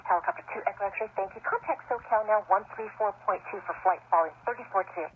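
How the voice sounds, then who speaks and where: An air traffic controller gives clearances in crisp, clipped procedural phrasing. The voice comes through a narrow, tinny radio band. A faint hiss sits behind it. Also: medium pitch, fast talker.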